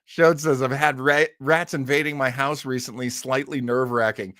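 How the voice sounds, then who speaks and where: A man hosting a live show in casual chat, reading out and answering listener comments in a halting, rambling way with jokey asides. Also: medium pitch, steady tone, fairly bright tone, no echo, clean studio sound.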